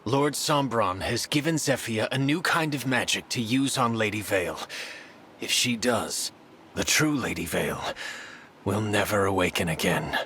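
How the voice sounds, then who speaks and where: An actor playing a stern, dutiful knight in a video game, formal and solemn in delivery. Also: male voice, on the low side, normal speed, a bit bright, a little hiss or hum, natural voice. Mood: somber worry. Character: grave, dutiful male knight, loyal and stern. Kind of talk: video game cutscene voice acting.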